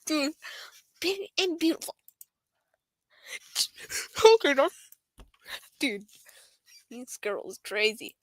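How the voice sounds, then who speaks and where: High-voiced, animated woman streaming a game. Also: drawn-out words, pauses often, no echo. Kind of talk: casual visual novel read-aloud with asides.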